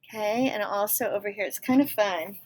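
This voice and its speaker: A woman reading a picture book aloud expressively, pausing to explain and put questions to young listeners. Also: mid-range voice, darkish tone, rough recording. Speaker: read-aloud storyteller.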